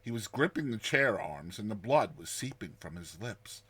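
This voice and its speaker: A man narrating a classic horror short story in a hushed, grave and measured manner. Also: on the low side, big pitch swings, husky.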